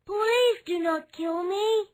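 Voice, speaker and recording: A man voicing a small magic golden fish in a storybook, speaking in a high squeaky falsetto. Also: slow pace. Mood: pleading.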